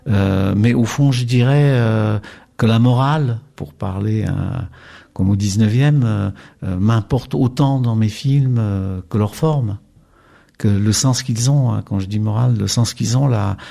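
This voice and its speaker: A man's voice: on the low side, measured pace, gravelly, dark tone. Older man with a faint Czech accent. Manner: hesitant, many fillers and restarts. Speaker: documentary filmmaker, guest. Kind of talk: radio interview.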